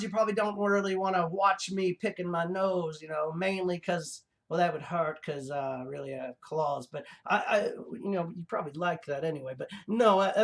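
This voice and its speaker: A cranky, grandiose creature of a fake professor, put on by a performer, hosts a comedy show. The talk is a halting, improvised ramble, full of stammers and self-importance. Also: mid-range voice, natural voice. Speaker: show host. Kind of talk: improvised in-character comedy monologue.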